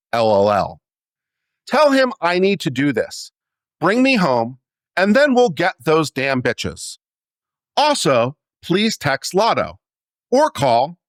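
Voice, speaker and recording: A man's voice: fairly high pitch, a bit bright, no echo. Guy doing a dramatic letter reading, theatrical, voice swoops up and down a lot, really dry close sound. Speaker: reader of a letter.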